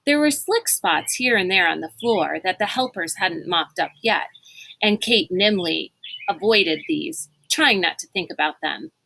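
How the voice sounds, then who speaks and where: Bright-voiced woman reading a story aloud. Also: fairly low pitch, animated, a little slow, creaky, rough recording. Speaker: reader of a read-aloud book. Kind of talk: expressive storybook narration.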